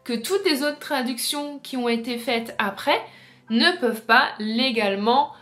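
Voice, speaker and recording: A woman with a slightly high voice talks as a book vlogger. She explains in an informal, chatty way, as in a casual video essay. She addresses her viewers directly. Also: a little slow, a bit bright.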